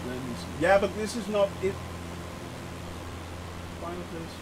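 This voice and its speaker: A man's voice: high-pitched, expressive, unhurried, background noise. A man hosting a flight simulator live stream, talking casually to his chat, thinking aloud with frequent hesitations and greeting viewers by name. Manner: frequent hesitations and restarts while explaining. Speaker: livestream host of a flight simulator channel.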